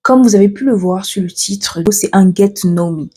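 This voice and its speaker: A woman's voice: mid-range voice, moderate pace, warm tone, slightly rough. A woman in her twenties, from Cameroon, chatting casually about herself in a get-to-know-me vlog, with many fillers and restarts as she checks her notes. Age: 20s. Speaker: vlogger.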